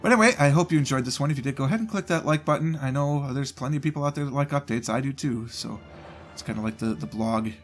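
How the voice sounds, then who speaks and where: A man's voice: medium pitch, fast talker, soft background music. A man with a cold and a stuffed-up voice, rambling casually through a channel update from his home desk mic. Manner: rambling, loose, self-interrupting. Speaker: gaming channel host. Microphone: home desk mic, voice only.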